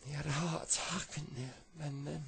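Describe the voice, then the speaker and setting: A man voicing a cold, lost young boy in a quiet, hesitant, plain way. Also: a little high, steady tone, moderate pace, gravelly, background noise, pitched above the performer's own voice. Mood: subdued.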